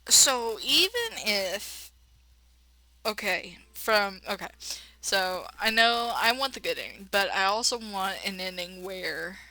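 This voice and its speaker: A woman playing a game on camera, switching between dramatic read-aloud narration and chatty, animated commentary with folksy exclamations. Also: mid-range voice, slow pace, no echo, rough recording. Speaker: let's player.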